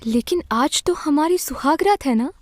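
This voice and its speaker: A woman playing a young new bride who speaks softly and apologetically. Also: sits high, expressive, normal speed, a little hiss or hum. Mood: hesitant. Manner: soft, apologetic. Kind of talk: Hindi audio story acting.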